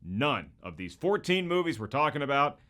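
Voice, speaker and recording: A man's voice: medium pitch, sing-song, normal speed, a bit bright. A man delivering scripted comedic narration as a host, with a wry, joking manner and exaggerated emphasis. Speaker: host of a movie comparison video.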